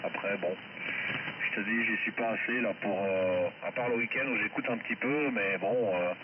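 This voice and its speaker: A man's voice: fairly low pitch, normal speed. Shortwave radio static, dull sound, man, amateur radio operator, casual on-air chat. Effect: shortwave amateur radio transmission. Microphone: heard through a radio receiver. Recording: muffled, static-filled shortwave radio reception.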